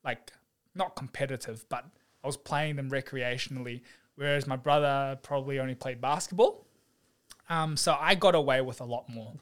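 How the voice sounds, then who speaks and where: A man's voice: medium pitch, normal speed. Laid-back New guy chatting. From New Zealand. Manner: relaxed, chatty, laughs easily, lots of fillers. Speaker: guest, physiotherapist. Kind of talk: casual chat.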